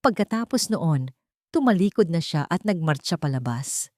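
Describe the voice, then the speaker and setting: A woman storyteller delivers scripted drama in a slightly low-pitched voice. The sound is dry and close, with the narration shaped like a serialized tale. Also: very animated, moderate pace, a little mellow, no echo.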